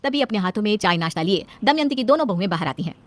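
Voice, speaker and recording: A woman's voice: medium pitch, lively intonation, racing. A woman reading scripted narration for a domestic family story.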